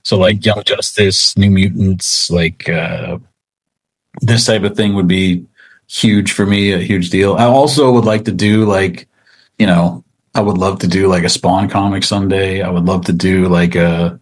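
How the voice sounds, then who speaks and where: Man, low voice, casual interview guest, rambling, self-deprecating. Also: steady tone, unhurried, dry recording. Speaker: interview guest, comic book writer.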